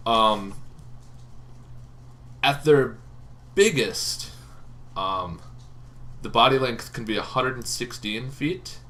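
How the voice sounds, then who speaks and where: A man hosting a tabletop gaming channel, explaining casually off the cuff in an informal, halting way, as if thinking aloud. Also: medium pitch, sharp tone. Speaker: host of a tabletop roleplaying lore channel. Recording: noticeable background noise.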